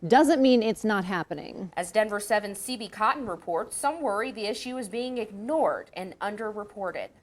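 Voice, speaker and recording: A woman news anchor introducing a report in a crisp, formal way. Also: mid-range voice, lively intonation, moderate pace, few pauses.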